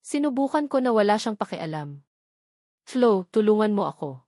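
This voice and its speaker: A woman's voice: medium pitch, sing-song, moderate pace, mellow, dry recording, studio quality, natural voice. A woman playing a spoiled young heiress in an audiobook, desperate, indignant and complaining. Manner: plaintive, complaining.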